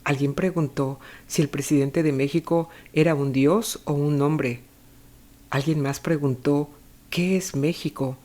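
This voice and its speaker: A narrator retelling a novel from a script in a measured storytelling way, with deliberate pauses. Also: medium pitch, steady tone, a little mellow. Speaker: narrator of a book review.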